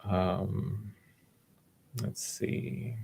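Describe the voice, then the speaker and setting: A man hosts a casual online livestream in a low voice with heavy fry. He is informal and encouraging, improvising with frequent hesitations. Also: fairly even intonation, drawn-out words, darkish tone, slight background noise. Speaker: audition host.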